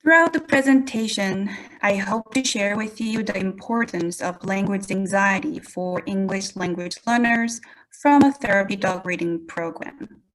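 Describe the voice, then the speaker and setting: A woman from South Korea with a Korean accent presents a prepared webinar talk as an English language educator, speaking deliberately and addressing her audience directly. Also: mid-range voice, poor audio.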